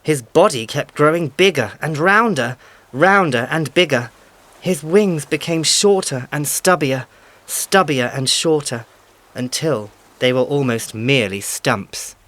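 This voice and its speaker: A man narrating a scripted animal fable in a measured, emphatic storytelling manner. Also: on the high side, animated, a bit bright.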